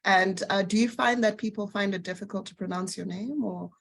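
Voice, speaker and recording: A woman facilitating an online workshop, talking in a measured, gentle way with frequent fillers. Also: mid-range voice, fairly even intonation, creaky.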